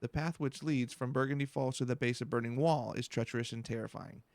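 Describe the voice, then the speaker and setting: A man voicing a self-important, florid student researcher in a video game, theatrical and excitable. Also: medium pitch, a little fast, no echo, close to an everyday voice. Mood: solemn advising. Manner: grandiose, alliterative, theatrical. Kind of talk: video game dialogue read aloud.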